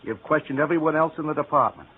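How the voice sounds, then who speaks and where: A man's voice: a little high, quick pace, natural voice. An actor playing an earnest, easily wounded young male office clerk in an old-time radio drama. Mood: indignant, hurt. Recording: tinny, noisy old radio recording.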